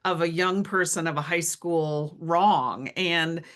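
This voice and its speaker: A woman's voice: on the low side, slow pace, a bit bright. A woman hosting a podcast in a casual, conversational style. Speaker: podcast host. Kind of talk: casual chat.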